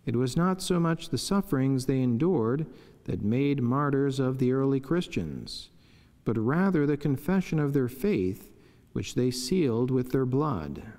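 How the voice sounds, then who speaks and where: A middle-aged friar reading a devotional reflection in a solemn, measured, formal way from a chapel lectern. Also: male voice, mid-range voice, warm tone. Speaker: friar preaching. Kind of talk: read devotional reflection and prayer. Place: wood-panelled chapel indoors, at a lectern. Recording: long echoing chapel reverb.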